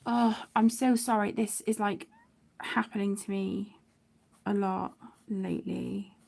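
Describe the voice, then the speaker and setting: A middle-aged woman chatting casually on a livestream, affectionate and apologetic, with phrases that trail off softly. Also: medium pitch, steady tone, takes it slowly, gravelly. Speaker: livestream host of an adult colouring channel. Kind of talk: casual livestream chat while colouring.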